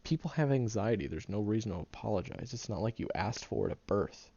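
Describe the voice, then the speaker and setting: Tsundere young guy voiced by a man: low, dark and gravelly, blunt and foul-mouthed with a soft heart, a bit groggy. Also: animated, fairly quick, dry recording, dull audio. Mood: teasing. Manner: blunt and profane, gentle underneath. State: groggy, just woken up. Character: gruff, sarcastic young man who secretly cares. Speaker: voice actor in an audio roleplay. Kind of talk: audio roleplay monologue to the listener.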